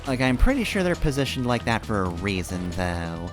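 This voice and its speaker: Low-voiced, animated male game streamer. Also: moderate pace. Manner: rambling, thinking aloud. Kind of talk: casual live gameplay commentary. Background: video game music.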